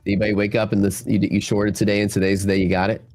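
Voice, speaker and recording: Deep nasal man with a cold. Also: flat delivery, rapid-fire, darkish tone, soft background music. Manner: loose, filler-heavy talk to the chat. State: stuffy from a cold, voice worn. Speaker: futures trading livestream host. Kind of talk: casual live market commentary.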